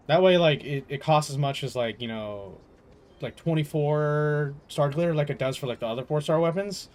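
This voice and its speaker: A man giving casual gameplay commentary, musing aloud and rambling as he plays. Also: mid-range voice. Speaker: game streamer. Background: game music.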